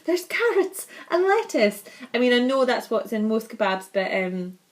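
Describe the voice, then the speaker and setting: Young Scottish woman vlogger, chatty and bubbly, slightly high voice. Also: big pitch swings, moderate pace. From Scotland. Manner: chatty, bubbly, laughs often. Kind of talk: casual chat to camera.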